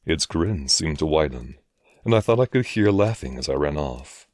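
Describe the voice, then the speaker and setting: A man's voice: extremely low pitch, lively intonation, moderate pace, darkish tone, dry recording, studio quality. A man narrating a scripted first-person horror story.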